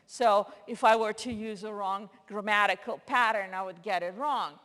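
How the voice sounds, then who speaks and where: A woman's voice: medium pitch, steady tone, normal speed, fairly bright tone. A woman who speaks Russian natively, giving a conference lecture in a hall with many fillers and restarts. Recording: long hall reverb.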